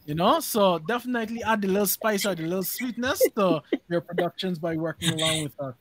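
A man's voice: sits high, lively intonation, brisk. A man hosting a video call panel, upbeat and joking. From Guyana. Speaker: host.

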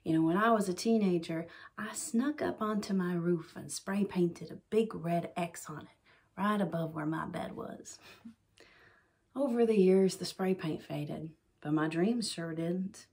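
A young woman playing a dreamy, determined client giving a soft, confiding testimonial in a small room. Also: fairly low pitch, a little slow. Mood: wistful. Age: young adult. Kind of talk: soft ASMR mock testimonial. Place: small bedroom indoors.

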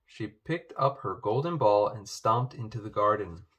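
A man reading a children's storybook aloud, with expressive narration. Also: on the low side, moderate pace. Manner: expressive, animated. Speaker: storybook reader. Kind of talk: children's book read-aloud.

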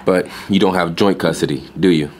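Male actor as young pet store clerk, low-pitched, deadpan, terse, unimpressed, audio drama. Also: a little fast, a little hiss or hum, close to an everyday voice. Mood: dry, guarded. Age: young adult.

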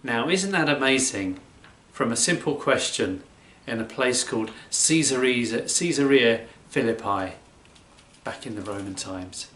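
An older man telling a Bible story to children in an emphatic, deliberate way. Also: mid-range voice, expressive, a bit bright, a little hiss or hum. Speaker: children's church presenter. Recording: roomy, echoing indoor sound.

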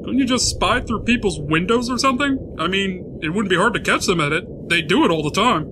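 Bewildered everyman abductee, man acting, lively swooping intonation, nervous protests, noticeable noise behind him. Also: high voice, a little fast. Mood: nervous, protesting. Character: bewildered, panicky male abductee, store manager. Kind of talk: comic-book dub. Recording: clear background noise.

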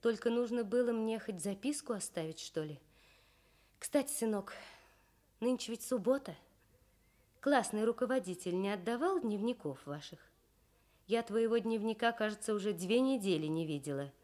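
A woman voicing a caring working mother in a radio story, homely and gently chiding. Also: medium pitch, expressive, normal speed, lightly acted. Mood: gentle, probing.

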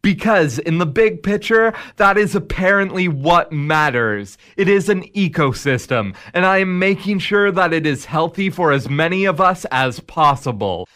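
An actor playing the Devil in a radio drama, a smug, needling tempter who talks in a measured, sardonic way. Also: male voice, high voice, animated, fairly bright tone. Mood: smug, persuasive.

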